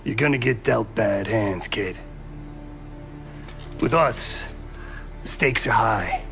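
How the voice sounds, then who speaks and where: A man playing a gruff, loyal, sidelined CIA operative in a video game cutscene, with a gravelly American accent and blunt, streetwise talk. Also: fairly low pitch, slow pace, fairly bright tone, faint music behind, full character voice. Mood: gruff, wry.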